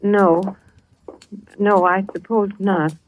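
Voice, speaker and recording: Anxious mother-in-law played by a woman, radio mystery, halting, solicitous speech, medium pitch, light hiss. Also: steady tone, narrow, tinny audio, natural voice. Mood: anxious, distressed. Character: anxious, polite, distressed mother-in-law hostess. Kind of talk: 1940s radio drama acting. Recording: slightly noisy old radio recording.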